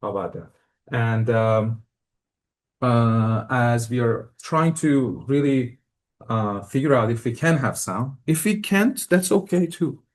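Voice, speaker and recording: Persian-accented man giving a relaxed lecture. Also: mid-range voice, slow. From Iran. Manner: hesitant, many fillers and restarts, friendly. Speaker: guest lecturer. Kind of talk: live academic lecture to an audience. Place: lecture hall.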